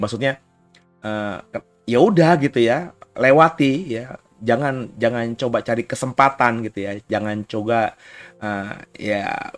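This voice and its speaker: A man's voice: lowish voice, no echo. A male pastor speaks. He preaches conversationally, full of small tags and asides, and is getting over a cough. Faint music plays underneath his voice. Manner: informal, frequent "ya" tags and asides. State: recovering from a cough. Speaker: pastor giving a recorded sermon. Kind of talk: conversational sermon.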